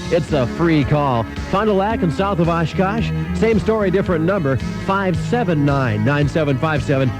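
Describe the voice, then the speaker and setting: Higher-pitched American country radio DJ man. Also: animated, normal speed. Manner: playful, folksy. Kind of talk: chatty country radio DJ patter. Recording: radio broadcast aircheck with music bed. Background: music.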